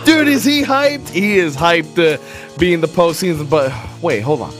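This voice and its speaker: A male radio host talking sports in a loose, excitable way. His voice sits a little high, and there is faint music behind him. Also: moderate pace. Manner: excitable, joking. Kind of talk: casual radio chat.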